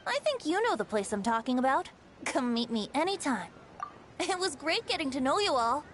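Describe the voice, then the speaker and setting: A woman voicing a refined, gracious young woman in a video game, with formal, poetic phrasing. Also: sits high. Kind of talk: video game character acting.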